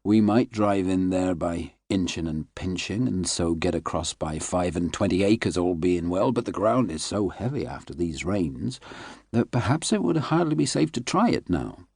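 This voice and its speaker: A man's voice: low voice, lively intonation, normal speed, a little mellow, slightly rough, no room sound, studio quality, exaggerated voice. A man voicing a cautious country coachman in an audiobook, plain-spoken, hesitant and deferential to his mistress. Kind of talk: audiobook character reading.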